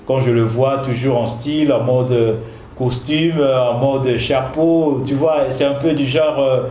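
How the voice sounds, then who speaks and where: A man's voice: medium pitch, fairly even intonation, slow, mellow. Hesitant male host, echoey room. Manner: hesitant, repeats words, mixes in English phrases. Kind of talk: community web show interview. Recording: echoey room with some noise.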